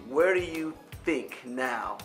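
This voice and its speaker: A man interviewing a founder, halting and restarting as he frames his questions. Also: medium pitch, expressive, a bit bright, faint music behind. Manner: halting, many fillers and restarts while asking.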